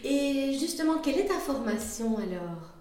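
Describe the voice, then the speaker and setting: A woman conducting an interview, asking questions in a halting way with many fillers. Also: high voice, sing-song, a little mellow, very echoey.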